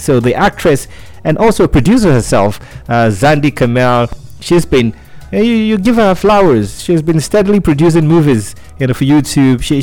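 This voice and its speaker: A man's voice: mid-range voice, darkish tone. Chatty male radio host, faint music behind. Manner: chatty, filler-heavy, many restarts. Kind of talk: radio entertainment news chat.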